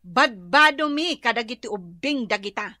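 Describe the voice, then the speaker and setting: A woman's voice: a little high, lively intonation, normal speed, sharp tone, no echo, studio quality. An actress playing a fed-up, scolding wife and mother in an Ilocano comedy radio drama, with an Ilocano accent. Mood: firm, angry. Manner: nagging, exasperated.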